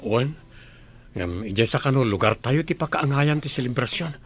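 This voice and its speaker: A man's voice: mid-range voice, animated, normal speed, slight background noise, natural voice. A man with an Ilocano accent playing a young working man soon to marry, in a radio comedy-drama. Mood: matter-of-fact.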